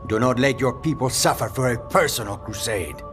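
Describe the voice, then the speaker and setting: A man voicing a stern agency director in a video game. He speaks in a formal, authoritative way, at a middle pitch. Music runs clearly under him. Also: brisk, close to an everyday voice. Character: stern male head of anti-mutant agency. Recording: game audio with music behind.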